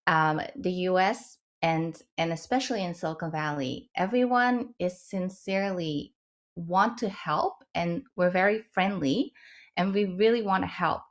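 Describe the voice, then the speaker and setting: Lower-pitched woman from the Netherlands advising. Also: unhurried. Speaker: webinar panelist and advisor. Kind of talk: informal explanatory advice.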